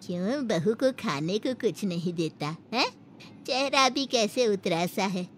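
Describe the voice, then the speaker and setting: A woman's voice: medium pitch, animated, slow pace, fairly bright tone, slight background noise. A woman voicing a caring, blunt old village grandmother who fusses and scolds affectionately in a Hindi cartoon story. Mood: scolding. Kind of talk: Hindi animated moral story acting.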